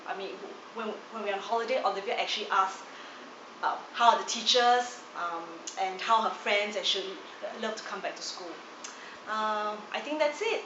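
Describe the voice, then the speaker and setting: A woman speaking earnestly as a parent in a testimonial, with fillers and restarts. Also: mid-range voice. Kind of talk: casual testimonial. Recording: echoey dull recording, ambient noise.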